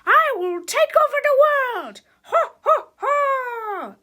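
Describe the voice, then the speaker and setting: A woman voicing a gleefully evil bionic supervillain woman from a comic-book story, loud, boastful and cackling. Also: very high voice, animated, takes it slowly, pitched above the performer's own voice, heavily acted. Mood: gloating.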